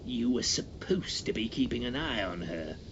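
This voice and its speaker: A man playing a commanding English gentleman uncle from a comic storybook, brusque and easily exasperated. His delivery is very animated, on a dull recording with some noise. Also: medium pitch, moderate pace, close to an everyday voice.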